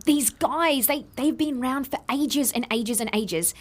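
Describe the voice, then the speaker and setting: An Australian actress playing a guilty, defensive inventor who earnestly explains herself in an audio drama. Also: female voice, high voice, measured pace, a little hiss or hum. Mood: earnest, explaining.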